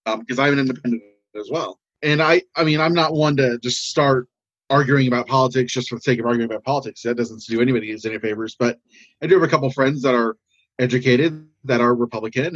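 A man with an American accent giving earnest opinions in casual conversation, in a halting, rambling way. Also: medium pitch, a bit bright, slightly rough, no room sound, lo-fi sound.

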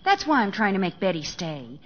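A woman's voice: medium pitch, huge pitch swings, brisk, husky. An actress playing a practical, good-humored young mother and elder sister in old radio drama style. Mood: brisk, good-humored. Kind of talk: 1940s radio acting. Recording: muffled old radio broadcast.

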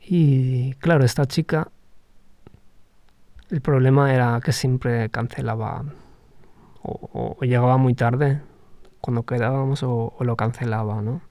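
A man's voice: medium pitch, fairly even intonation, a little slow, pauses often, a little mellow, no echo. A man hosting a podcast, talking through a personal reflective monologue in a hesitant, thoughtful way with frequent restarts. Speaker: podcast host.

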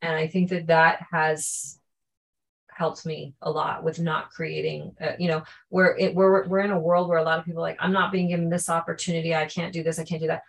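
A woman speaks as a podcast guest. Her answers are thoughtful and earnest, and she often pauses mid-sentence to find the right word. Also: sits low, level tone, creaky. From Canada. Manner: thoughtful, earnest, searching for words. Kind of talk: reflective interview answers.

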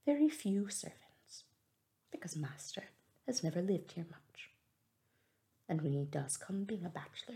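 A woman voicing a composed, demure middle-aged female servant in a soft whisper. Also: lowish voice, vocal fry. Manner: whispered, calm and measured.

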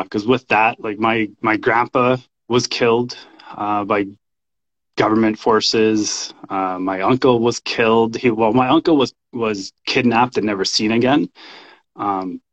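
A man in his thirties telling his personal story casually as an interview guest, in an informal, halting way. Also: on the low side. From Canada. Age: 30s. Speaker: podcast guest, men's coach and shamanic practitioner. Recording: dull, lo-fi voice recording.

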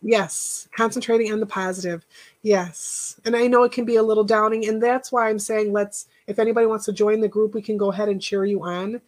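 A woman hosting a casual live chat, rambling and encouraging, thanking viewers by name, with a stuffy nose and a voice that is going hoarse. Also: mid-range voice, steady tone, a little fast, darkish tone. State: losing her voice. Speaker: podcast host.

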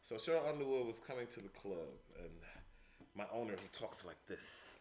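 A man, a stand-up comedian, chatting on a podcast as a guest and telling stories in an animated, loud way, acting out the scenes. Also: fairly low pitch, fairly quick, roomy sound, slight background noise. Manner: animated, loud storyteller who acts out scenes. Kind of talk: casual podcast chat and storytelling.

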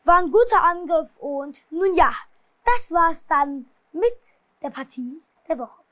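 Very high-voiced woman recapping football. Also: animated, slow pace, fairly bright tone, no echo. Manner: hesitant, many fillers, apologizes for her pronunciation. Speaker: football news vlogger. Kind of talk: casual football recap and news.

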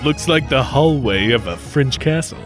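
A man's voice: medium pitch, lively intonation, moderate pace, soft background music, lightly acted. A man playing an earnest, cautious time-travelling investigator with folksy politeness in a 1940s-style radio play. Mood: dry, cautious.

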